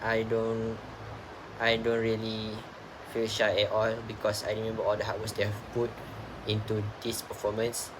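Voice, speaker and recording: A young man sharing a personal reflection in a class presentation, halting and repeating words. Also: fairly low pitch, completely flat delivery, background noise. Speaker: student presenter.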